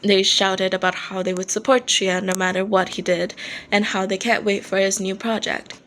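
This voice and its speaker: Woman reading fanfic out loud, mid pitch, some noise in the recording. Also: flat delivery, normal speed. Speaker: amateur fan fiction reader. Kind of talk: scripted story narration. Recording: noticeable background noise.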